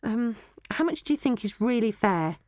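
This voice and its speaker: A woman's voice: a little high, expressive, moderate pace, a little mellow, no room sound, studio quality, pitched above the performer's own voice, natural voice. A woman voicing a clever young girl who gives polite but firm instructions in a storybook reading. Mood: polite, commanding.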